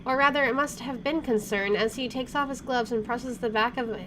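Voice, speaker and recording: A woman with a fairly high voice reads story narration from a visual novel as a game streamer. Clear noise runs behind her in a home recording. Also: fairly even intonation, fairly quick. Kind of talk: reading visual novel narration aloud with asides.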